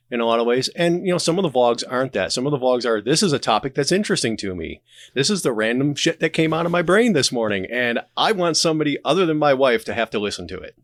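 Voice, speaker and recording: A man's voice: medium pitch, lively intonation, a little fast, dry recording, clean studio sound. A man speaking as a podcast guest in a casual, candid conversational style. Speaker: podcast guest, audio drama creator and streamer.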